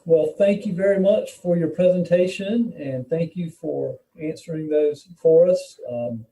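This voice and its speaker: Very muffled, higher-pitched man chairing a hearing. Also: a little slow, dark tone. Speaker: board chair running a hearing. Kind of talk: formal questioning at a public meeting. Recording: dull echoey room, distant mic.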